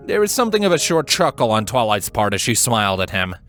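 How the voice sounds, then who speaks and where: A man's voice: mid-range voice, very animated, fast talker, a bit bright. A man reading scripted fan fiction as a storybook narrator. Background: background music.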